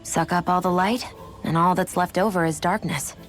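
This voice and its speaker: A proud young woman warrior from an anime role-playing game , played by an actress. She speaks in a low female register, curt and superior, over faint music. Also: fairly even intonation, normal speed, close to an everyday voice. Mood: condescending explaining. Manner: matter-of-fact, curt, superior. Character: cool, blunt young female sharpshooter, proud and condescending. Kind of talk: English-dubbed anime game voice acting.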